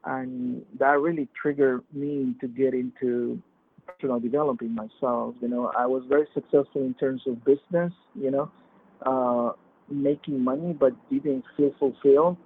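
A man's voice: medium pitch, fairly even intonation, husky. Man on a tinny call line. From Peru. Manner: halting, many fillers and restarts. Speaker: podcast guest. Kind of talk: casual testimony. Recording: tinny phone-like remote line.

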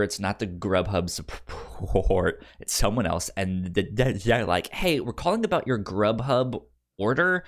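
A podcast co-host with a stutter, repeating sounds and words while telling an informal story in casual chat and acting out the dialogue. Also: medium pitch.

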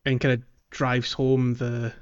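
A man hosting a casual podcast about a game, talking in a halting, thoughtful way. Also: mid-range voice, monotone, clear, resonant tone. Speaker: podcast host. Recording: slightly dull, muffled audio.

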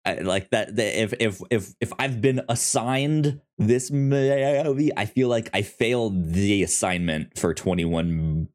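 A man hosting a casual review podcast, speaking in halting, conversational phrases that often double back on repeated words. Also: fairly low pitch, a little mellow. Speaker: podcast host.

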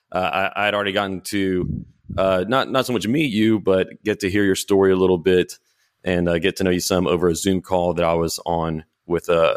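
A man's voice: low-pitched, smooth, no echo, studio quality. A man hosting a podcast interview in a conversational way, often restarting his phrases as he talks. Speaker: podcast host.

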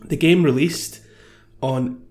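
A man's voice: a little high, slow pace, a little mellow. A man speaking casually as a panelist on a comedy game show podcast. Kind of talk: casual comic banter.

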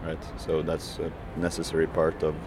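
A man's voice: extremely low pitch, monotone, moderate pace, background noise. A man who works as a food courier, answering interview questions hesitantly with many fillers and restarts.

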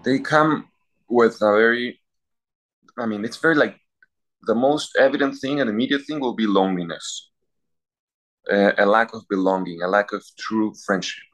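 Man, low voice, thoughtful priest, clears throat now and then. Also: moderate pace, long pauses, a bit bright, poor audio. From Peru. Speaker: university chaplain priest. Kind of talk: casual interview answers.

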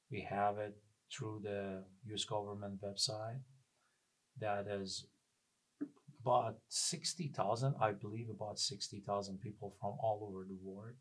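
A man from Iran with an Iranian accent, a plumber telling his story as a guest in a casual interview, pausing often to find his words. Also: on the low side, level tone, a bit bright, rough recording. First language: Persian. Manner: halting, searching for words.

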